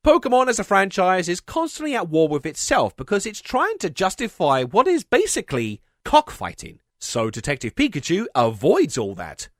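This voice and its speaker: A man's voice: high voice, wildly swooping pitch, normal speed, hardly any pauses, no echo. A man hosting a video essay, reading scripted commentary with wry, sarcastic asides.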